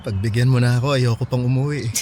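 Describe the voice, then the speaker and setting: Radio drama fan played by a man: lonely, nerdy young Filipino, reserved and sincere, medium pitch. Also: steady tone, fast, dark tone, slight background noise, close to an everyday voice. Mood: shy, earnest.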